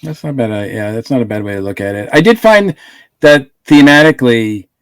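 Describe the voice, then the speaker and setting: A man's voice: medium pitch, expressive, brisk, smooth, dry recording. Rambling man on a dry close mic. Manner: rambling, with many fillers and restarts. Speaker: podcast co-host. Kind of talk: casual podcast review chat.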